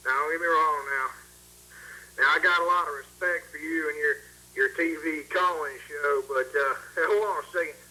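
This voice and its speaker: A man calls in to a live show with a very sharp, somewhat high voice. He talks in a halting, mock-earnest way, needling as he goes. He is heard over a tinny telephone line. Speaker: prank caller. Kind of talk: casual phone call to a live show.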